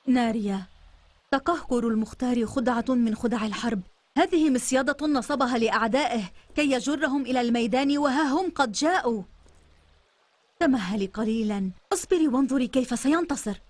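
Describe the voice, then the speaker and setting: A woman's voice: fairly high pitch, no room sound, natural voice. An actress playing a composed, loyal noblewoman in a dubbed historical drama, speaking with firm reassurance over faint noise. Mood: reassuring resolve. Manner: reassuring, firm, measured in wording. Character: steadfast, wise, composed noblewoman loyal to her war leader.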